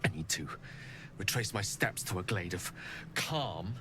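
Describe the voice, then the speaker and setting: A man playing an erudite, courteous, world-weary wizard in a fantasy video game, with eloquent, measured, poetic phrasing. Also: low-pitched, animated, over music. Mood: troubled, melancholic.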